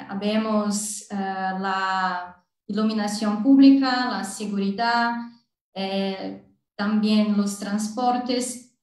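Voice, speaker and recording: A woman whose first language is Portuguese gives a slow, halting talk on a video call. She restarts her words often. Her voice comes from a distance, in a very echoey space. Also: mid-range voice, darkish tone. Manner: hesitant, halting Spanish with many restarts. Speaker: architect and smart cities consultant. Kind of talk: panel presentation on a video call.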